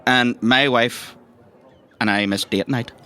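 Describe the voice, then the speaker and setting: An actor playing a chatty, thirsty agency boss in a comedic audio drama, with a Northern Irish accent and a rambling, hesitant, colloquial way of talking. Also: male voice, medium pitch, fairly even intonation, takes it slowly, pushed and bright. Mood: wistful, persuading. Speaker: mission giver briefing recruits.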